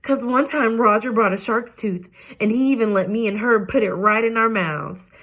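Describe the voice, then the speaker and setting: A woman's voice: medium pitch, a little slow, fairly bright tone, lightly acted. A woman voicing a spirited, proud little schoolgirl in a children's book read aloud, whiny and hollering. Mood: whining. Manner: whiny, hollering, dragging words out.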